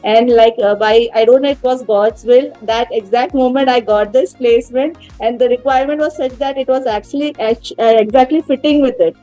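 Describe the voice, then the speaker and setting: An Indian woman in her thirties talks earnestly as an interview guest, her voice high and warm. She pauses often with fillers and restarts her sentences. Faint music sits underneath. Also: no room sound, low-quality recording. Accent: Indian English. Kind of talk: casual interview talk.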